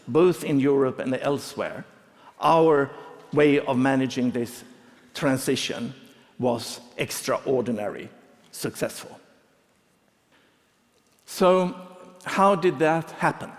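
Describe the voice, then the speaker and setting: A middle-aged man from Sweden, giving a prepared conference talk in measured phrases. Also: mid-range voice. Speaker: conference speaker. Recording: long reverb of a large hall.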